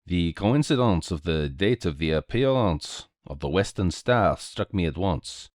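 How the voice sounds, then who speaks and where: A dapper little detective played by a man reading aloud in a storybook mystery. He speaks, courteous and theatrical, his pitch swinging freely. He sounds cheerful and very sure of himself, in a dry, close sound. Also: expressive, measured pace, a little mellow, no echo. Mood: knowing, animated. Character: small Belgian male detective, cheerful, courteous, self-assured.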